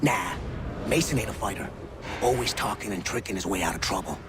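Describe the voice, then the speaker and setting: An actor playing a sneering, gossipy prison inmate in a video game, talking in coarse, mocking slang. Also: male voice, on the low side, fairly quick, over loud music, lightly acted. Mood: conspiratorial.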